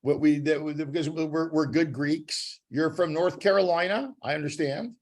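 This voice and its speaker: A Canadian-accented man co-hosts a casual podcast in a fairly high voice. He cracks jokes and rambles, restarting his sentences as he goes. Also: normal speed. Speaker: podcast co-host. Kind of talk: casual joking chat.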